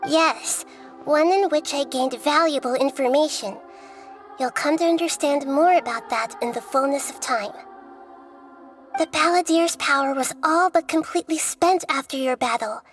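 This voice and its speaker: A woman voicing a young, gentle female goddess of wisdom who explains things in a calm, measured way. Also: high-pitched, lightly acted. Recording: game audio with faint music.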